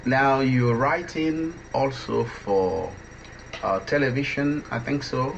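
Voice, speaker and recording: Gravelly male interviewer, muffled recording. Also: mid-range voice, slow. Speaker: radio host and interviewer. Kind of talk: conversational radio interview. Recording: dull, faintly noisy line.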